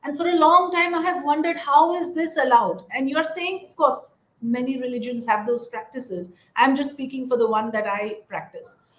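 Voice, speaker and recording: A woman's voice: sits high, moderate pace, a bit bright. A woman conducting a news interview in a conversational, earnest and emphatic manner. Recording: roomy, echoing voice.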